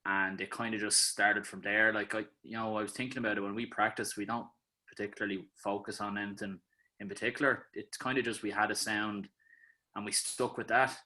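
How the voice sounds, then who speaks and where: Irish musician on a zoom call, low bright voice, just rambling along. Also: male voice, fairly quick. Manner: relaxed, rambling. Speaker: band member. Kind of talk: casual interview chat. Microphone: video call.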